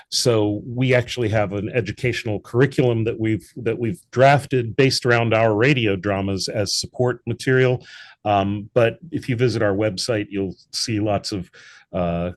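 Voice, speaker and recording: An American man with a fairly low voice talks as a guest in a conversational interview. He is articulate and reflective, often qualifying what he says. The sound is dry, with very little room around him. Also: no echo. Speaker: interview guest, audio drama producer.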